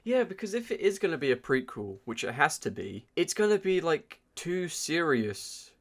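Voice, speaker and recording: A man's voice: on the high side, big pitch swings, moderate pace. A man co-hosting a podcast, reviewing films in a casual, informal way as he thinks aloud. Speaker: podcast co-host. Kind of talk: casual film review chat.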